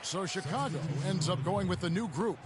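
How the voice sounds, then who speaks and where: High-pitched basketball play-by-play announcer. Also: male voice, expressive, normal speed, very noisy. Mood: businesslike. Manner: clipped, present-tense calls. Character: male TV basketball play-by-play announcer, brisk and excitable. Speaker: play-by-play commentator. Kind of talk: basketball game commentary.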